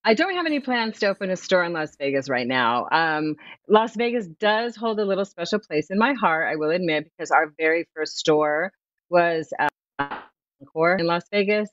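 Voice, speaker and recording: Woman, 40s, lively guest, video call audio, muffled, dry. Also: on the low side, normal speed, no room sound. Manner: lively, chatty, laughs easily. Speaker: swimwear brand founder, guest. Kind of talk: promotional interview chat. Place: home room, remote video call.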